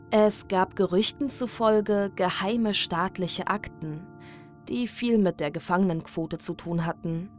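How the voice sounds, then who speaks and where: A woman reads a strange horror story as its narrator. Her delivery is very unhurried and dramatic, at a medium pitch, with soft music underneath.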